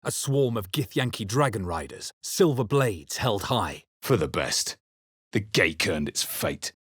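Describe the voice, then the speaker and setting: A battle-hardened hero of a fantasy video game, brooding and measured. The voice is fairly low and husky, recorded very dry and close. The delivery is dramatic, like a man who has seen many fights. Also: animated, fairly bright tone, no echo, full character voice. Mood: grave, intent. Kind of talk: dramatic fantasy game voice lines and first-person narration.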